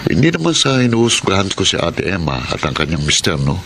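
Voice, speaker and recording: Low-pitched, homespun father, moralizing yet playful, radio drama with faint background noise. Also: big pitch swings, brisk. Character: plain-living middle-aged father, moralizing yet playful. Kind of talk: Filipino radio drama acting.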